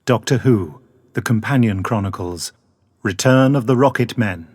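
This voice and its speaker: A man's voice: lowish voice, moderate pace, darkish tone. A man announcing an audio drama trailer in a scripted promotional style.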